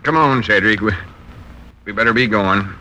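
An actor playing a self-important country storekeeper who puts on airs, drawling with a rural Southern American accent in a 1940s radio comedy. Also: male voice, on the low side, moderate pace, sharp tone, dull audio. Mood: huffy. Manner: pompous, drawling, putting on airs. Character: pompous, self-important country storekeeper turned would-be tycoon. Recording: old 1940s radio broadcast.

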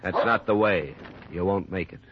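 An actor playing a hard-boiled, level-headed investigator in a 1950s radio drama, terse, firm and dry. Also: male voice, deep voice, moderate pace, close to an everyday voice. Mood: probing, steady. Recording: muffled, noisy old radio recording.

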